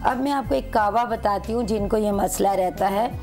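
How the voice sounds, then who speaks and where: A woman's voice: mid-range voice, moderate pace, mellow, soft background music. A woman, a doctor on a call-in show, giving callers long, rambling explanations. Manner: chatty, explanatory, rambling, mixing in English words. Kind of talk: advice to callers in a TV studio.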